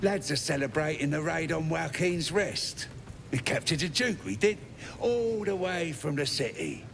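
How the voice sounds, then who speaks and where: A man playing a nervous goblin underling in a video game, fawning and stammering with rough grammar, in a very reverberant space. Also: a little high, big pitch swings, measured pace, a bit bright, exaggerated voice. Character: small, grovelling goblin underling, nervous and eager to please. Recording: long hall reverb, faint ambient noise.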